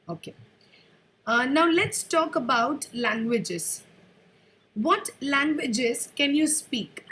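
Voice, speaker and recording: High-voiced woman examiner. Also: expressive, a bit bright, rough recording. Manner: brisk, formal questioning. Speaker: speaking test examiner. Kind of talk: formal exam questioning.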